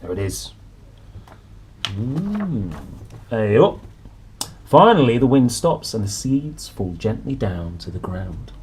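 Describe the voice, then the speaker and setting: A young man reads a children's story slowly, his pitch rising and falling widely. He pauses for drama and adds little asides of wonder. Faint room noise sits behind his voice. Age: young adult. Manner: animated, dramatic pauses, soft exclamations. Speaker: storybook reader and presenter. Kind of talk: expressive children's picture-book reading with asides. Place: small indoor room.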